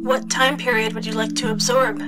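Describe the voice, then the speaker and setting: A woman voicing a calm, polite female spaceship computer in an audio drama. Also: a little high, moderate pace, over loud music, close to an everyday voice. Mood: formal. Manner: polite, even, formal.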